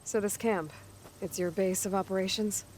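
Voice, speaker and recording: An actress playing a level-headed young heroine in a video game, dry and matter-of-fact. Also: female voice, mid-range voice, fairly even intonation, moderate pace, a little mellow, a little hiss or hum, natural voice, rough recording. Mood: dry, skeptical. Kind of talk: video game cutscene acting.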